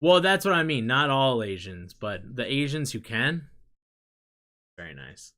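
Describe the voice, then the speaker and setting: A young man talking casually to his audience, a loose rambling ramble that drifts into quieter muttered asides. His voice sits at an ordinary mid pitch. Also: big pitch swings, unhurried. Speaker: streamer chatting with viewers.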